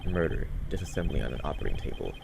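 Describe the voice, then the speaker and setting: Man doing a cold stern prosecutor, game character, very low, gravelly, formal, condescending, measured, noisy recording. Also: sing-song, full character voice.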